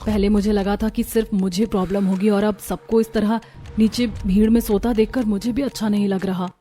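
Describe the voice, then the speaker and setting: A woman voicing an earnest, warm young daughter-in-law in a cartoon family drama. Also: medium pitch, steady tone, fairly quick, a little hiss or hum, natural voice. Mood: grateful. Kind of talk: Hindi cartoon dubbing.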